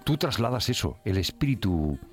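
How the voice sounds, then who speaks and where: A man hosting a radio interview in a studio, talking in a chatty, animated way and often repeating words. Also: lowish voice, moderate pace, breathy edge. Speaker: radio host.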